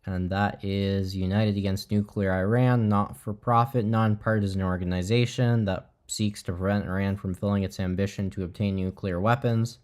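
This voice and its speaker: A man hosting a livestream with casual live commentary, speaking in a measured, hesitant way. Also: fairly low pitch, steady tone, darkish tone. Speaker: livestream host.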